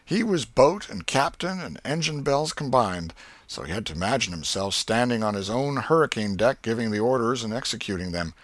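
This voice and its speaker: A man reading a story as an audiobook narrator, in a measured, expressive literary style. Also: medium pitch, no echo.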